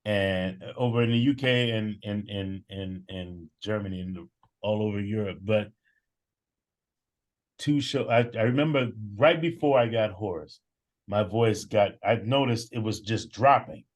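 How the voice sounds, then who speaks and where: A man, a bass singer, telling stories in a casual conversation, animated and full of exclamations. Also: unhurried. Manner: animated, breathless storyteller with exclamations. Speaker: bass singer in an a cappella group.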